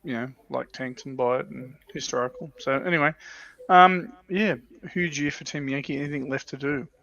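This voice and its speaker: A man's voice: mid-range voice, normal speed, vocal fry, sharp tone, muffled recording. A man hosting a casual hobby livestream chat, talking hesitantly and restarting his phrases. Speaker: livestream host.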